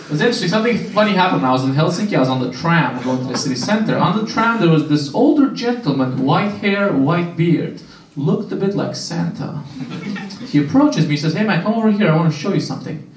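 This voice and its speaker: A young man from Romania doing a stand-up set on a small club stage with a handheld mic, delivering deadpan punchlines and chatting with the crowd. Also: fairly high pitch, fairly quick. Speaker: stand-up comedian. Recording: roomy club sound, camera far off. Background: audience laughter.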